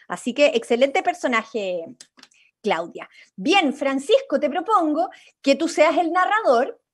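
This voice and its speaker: A woman's voice: sits high, lively intonation, measured pace. A young woman with a Chilean accent, guiding a workshop on a video call in an encouraging, informal way. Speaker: radio theatre workshop leader. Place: indoors at home, on a video call.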